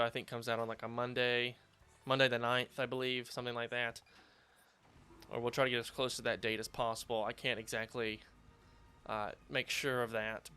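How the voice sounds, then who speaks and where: A slightly hoarse man hosting a video game playthrough, chatting casually with lots of fillers while standing at his home setup. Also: medium pitch, pushed and bright. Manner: rambling, filler-heavy, upbeat. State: slightly hoarse after surgery. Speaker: let's play host. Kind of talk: casual gameplay commentary.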